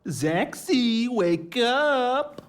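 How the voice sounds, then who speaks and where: Man as slow, sing-song taunting broker. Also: very high-pitched, big pitch swings, exaggerated voice. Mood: mocking, playful. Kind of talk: audio drama dialogue.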